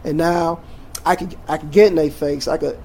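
A man's voice: fairly high pitch, normal speed, creaky, slight background noise. Laid-back man who rambles with fillers. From Jamaica. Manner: relaxed, rambling with many fillers and restarts. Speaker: teacher. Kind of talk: casual interview.

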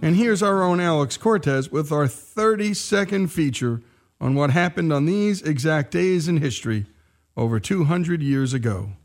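Higher-voiced man hosting over guitar music. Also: expressive, moderate pace. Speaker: radio show host. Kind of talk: scripted radio introductions. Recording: music under the voice.

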